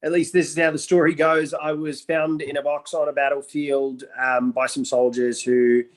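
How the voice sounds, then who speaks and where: A man's voice: on the high side, flat delivery, normal speed. Earnest young man. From Iraq. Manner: earnest and storytelling, with playful jokes. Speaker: guest. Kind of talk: casual interview talk.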